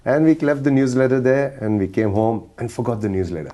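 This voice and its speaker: A man's voice: mid-range voice, a little fast, warm tone. A middle-aged man from India with an Indian accent, a chatty interview guest who laughs often as he tells his story. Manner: chatty, laughs often while telling stories.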